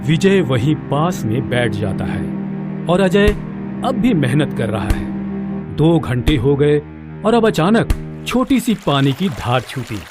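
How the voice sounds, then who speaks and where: A man's voice: a little high, very animated, takes it slowly, loud music behind the voice. A man narrating a scripted children's story as its storyteller.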